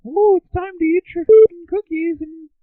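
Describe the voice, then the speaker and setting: A man voicing a prim female kindergarten teacher in mocking, sing-song falsetto. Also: normal speed, dry recording. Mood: mock sweet. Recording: tinny lo-fi telephone line.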